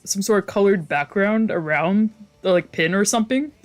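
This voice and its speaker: A streamer giving casual, excitable, rambling let's play commentary with giggly asides. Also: mid-range voice, normal speed. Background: game music.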